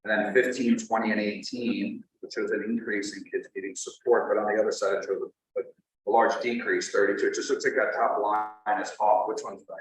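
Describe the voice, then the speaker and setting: Low-voiced man in a very echoey room. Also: flat delivery, fairly quick. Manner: methodical, reads out percentages. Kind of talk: questioning at a public meeting. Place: large meeting room. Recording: very echoey, distant, faint room noise.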